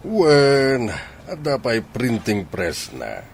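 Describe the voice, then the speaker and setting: A man's voice: medium pitch, huge pitch swings, extremely slow, a little hiss or hum, heavily acted. A man playing a friendly, boastful older village father with a Filipino accent in a radio drama.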